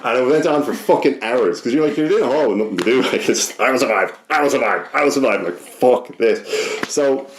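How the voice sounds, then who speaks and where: A man's voice: mid-range voice, lively intonation, fairly quick, hardly any pauses, reverberant, slight background noise. A young Irish man telling a personal story casually as a podcast guest, sweary, rambling and often interrupting himself.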